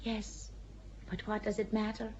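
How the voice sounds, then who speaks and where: An actress playing an earnest young peasant girl who speaks plainly and with calm, quiet defiance in a radio drama. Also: mid-range voice, unhurried, muffled recording, close to an everyday voice. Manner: plain-spoken, calmly certain, quietly defiant.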